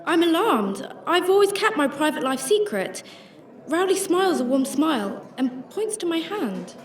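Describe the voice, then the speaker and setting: A woman's voice: very high-pitched, sing-song, moderate pace. A woman acting a troubled, secretive shelter worker who narrates her story in a radio drama, emotive and hesitant in dialogue. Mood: flustered. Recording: roomy sound with music behind.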